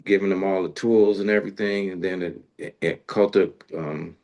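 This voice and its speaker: A man's voice: low-pitched, fairly even intonation, normal speed, gravelly, darkish tone, dull audio. A man in casual conversation about music as a show host, using informal, colloquial phrasing.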